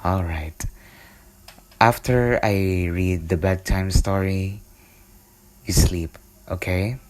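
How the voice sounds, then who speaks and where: A man speaking softly and intimately in a soothing ASMR bedtime style, sometimes dropping into a whisper. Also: low-pitched, steady tone, slow pace, no echo. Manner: soft, intimate, soothing delivery, partly whispered. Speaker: ASMR storyteller. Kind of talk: ASMR bedtime roleplay and storybook reading.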